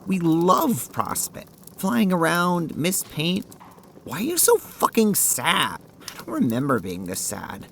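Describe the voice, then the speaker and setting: A woman's voice: sits low, very animated, slow pace, vocal fry, a little hiss or hum, exaggerated voice. A woman playing an irritable, blunt, foul-mouthed girl in an audio drama. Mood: irritated, demanding. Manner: blunt, exasperated, swearing. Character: irritable, foul-mouthed girl shaken by her strange dreams. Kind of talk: audio drama character acting.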